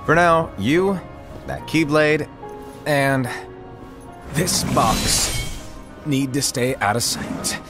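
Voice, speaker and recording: An actor playing a playful, mischievous master and mentor in a game cutscene, teasing and theatrical. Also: male voice, on the high side, music in the background. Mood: breezy instructing. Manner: theatrical, teasing, drawn-out wordy delivery. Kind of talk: animated game cutscene dialogue.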